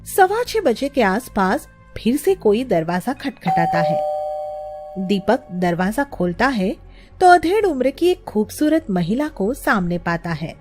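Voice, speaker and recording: A woman's voice: fairly high pitch, sing-song, unhurried, a little mellow, soft background music. A woman narrating a scripted children's story as its storyteller.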